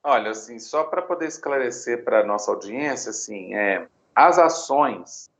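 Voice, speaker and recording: Brazilian guy walking through legal stuff, lots of né and tá, gravelly, roomy echo. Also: medium pitch, expressive, lo-fi sound. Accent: Brazilian Portuguese. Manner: deliberate, tag questions like "né?" and "tá?". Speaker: lawyer interviewed on Italian citizenship law. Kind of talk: explanatory legal talk.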